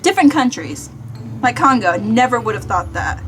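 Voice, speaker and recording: A young American woman chatting casually to viewers in an animated, self-deprecating way, like a vlogger. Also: fairly high pitch, brisk. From Kentucky, United States. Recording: music behind the voice.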